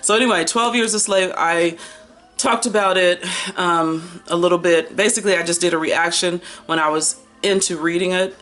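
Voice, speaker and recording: An American woman in her early fifties chatting casually about books in an expressive, slangy, playful and rambling way. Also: on the low side, moderate pace. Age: early 50s. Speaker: book vlogger. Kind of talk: casual chatty book haul and review.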